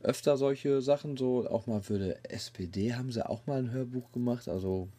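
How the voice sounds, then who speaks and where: A man's voice: mid-range voice, normal speed, dark tone. A man hosting a podcast, giving a casual, colloquial and rambling review. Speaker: podcast host reviewing an app. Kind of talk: casual chat, informal review.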